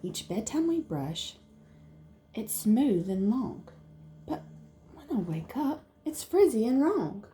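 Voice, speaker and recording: A woman plays a small girl from a picture book, puzzled and put out. She speaks slowly and deliberately, with a very animated melody, as she stands up for herself. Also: fairly high pitch. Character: puzzled, upset little girl standing up to a fairy. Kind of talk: children's storybook read-aloud.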